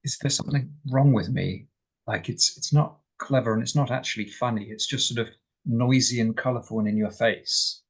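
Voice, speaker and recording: Dry, polite, reserved man out of his element, played by a man in a tabletop game; gravelly, rough-edged, halting delivery. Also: medium pitch, poor audio. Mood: uneasy, baffled. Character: reserved, baffled, dry-witted man uneasy at a circus. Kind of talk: in-character tabletop roleplay.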